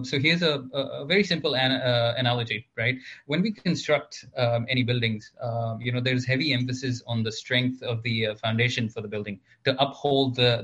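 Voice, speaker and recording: Man from Malaysia and Singapore, measured. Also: mid-range voice, sharp tone. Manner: measured, with frequent "uh" fillers. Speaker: guest, technology consulting executive. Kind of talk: business interview.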